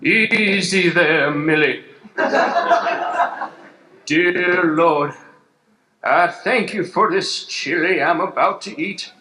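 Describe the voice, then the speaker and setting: A man's voice: high voice, takes it slowly, pauses often. A man playing an earnest, stubborn cowboy parson with a courtly, pious drawl in an improvised Western radio play. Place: live improv show on a stage. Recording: echoey stage with background noise.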